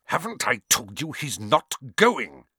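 A man's voice: a little high, huge pitch swings, a little slow, breathy edge, no room sound, studio quality, heavily acted. A man voicing a stubborn, pompous middle-aged uncle who blusters with contempt, in an audiobook. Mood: hissing anger. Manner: hissing, yelling, pompous indignation. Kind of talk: audiobook character acting.

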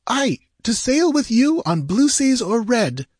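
A man's voice: sits high, huge pitch swings, brisk, a little mellow, breathy edge, dry recording, clean studio sound, pitched above the performer's own voice, exaggerated voice. A man voicing a passionate, devoted outcast woman in a fantasy audiobook, pleading fervently. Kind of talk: dramatic fantasy audiobook character.

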